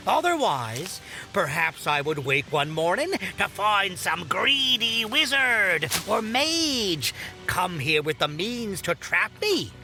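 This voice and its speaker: A woman's voice: medium pitch, sing-song, slow pace, pushed and bright, soft background music, heavily acted. A woman playing a whimsical, mischievous yet kindly elf in an audio drama, giggly and theatrical, with playful rhymes and grand old-fashioned phrasing. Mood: whimsical, chatty.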